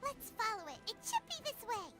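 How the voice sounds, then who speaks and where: An actress voicing a tiny, curious female fairy companion in a game, high and lively. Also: brisk, faint music behind, heavily acted. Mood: chirpy. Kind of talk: game voice acting.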